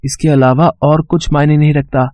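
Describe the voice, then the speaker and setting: A man's voice: on the high side, level tone, moderate pace, a little mellow, dry recording, natural voice. A young man acting an earnest, hardworking poor student boy with a hesitant manner in a moral story. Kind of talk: acted dialogue for an animated moral story.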